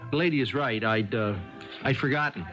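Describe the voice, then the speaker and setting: A man's voice: mid-range voice, a little fast, lightly acted. An actor in a 1970s radio drama playing an evasive, testy man with something to hide, stammering defensively. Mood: irritated, evasive. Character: evasive, testy man hiding his identity. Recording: dull 1970s radio broadcast, faint music.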